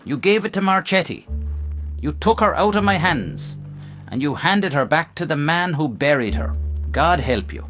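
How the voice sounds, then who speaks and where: An actor playing a stubborn, bitter, grieving veteran cop with an intense, defiant manner in a noir radio drama. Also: male voice, a little high, animated, normal speed, fairly bright tone, faint music behind. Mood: bitter, betrayed.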